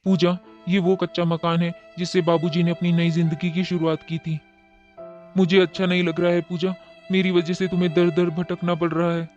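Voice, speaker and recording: A man's voice: high-pitched, little pitch movement, moderate pace, a little mellow, highs cut off, faint music behind, natural voice. A man playing a humble, loving young husband in a cartoon moral story, speaking earnestly. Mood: apologetic, worried. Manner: earnest, apologetic.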